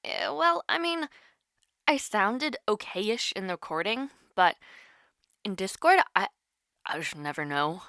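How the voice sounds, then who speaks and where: A woman's voice: mid-range voice, lively intonation, measured pace, pauses often, pushed and bright, no echo, clean studio sound. A woman voice-acting a nervous, playful young woman on a call with her online crush, hesitant and teasing in an intimate audio roleplay. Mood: relieved, chatty.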